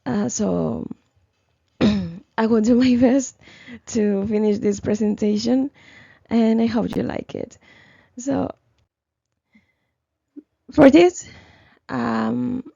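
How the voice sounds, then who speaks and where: Sick young woman, gravelly and slow. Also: fairly high pitch, mellow, no room sound, lo-fi sound. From Ecuador. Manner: hesitant, many fillers and restarts. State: sick, slightly hoarse. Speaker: student presenter. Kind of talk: class presentation, read from notes.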